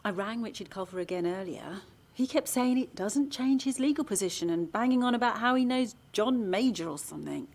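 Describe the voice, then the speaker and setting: A woman, a practical and determined small-town campaigner in a radio play. Her voice sits at a middling pitch, and she talks in an articulate, measured way. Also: lively intonation, darkish tone. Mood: weary, thoughtful. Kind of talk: naturalistic audio drama, dialogue and narration.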